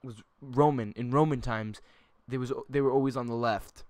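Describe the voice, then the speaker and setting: A man's voice: medium pitch, steady tone, normal speed, a little mellow, no room sound. Rambling young man, dry close sound. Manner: rambling, filler-heavy, joking. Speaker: host. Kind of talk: casual chat.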